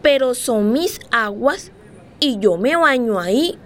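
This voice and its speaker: An actress playing a wistful, dreamy eight-year-old girl in a radio play, telling her story in a childlike, expressive way. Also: fairly high pitch, slow pace. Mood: wistful, matter-of-fact. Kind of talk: radio theatre monologue. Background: music.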